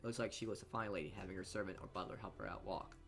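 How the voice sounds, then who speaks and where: A man's voice: low voice, racing, vocal fry, soft background music. A man reading visual novel narration aloud as a let's play host, stumbling on words and repeating phrases.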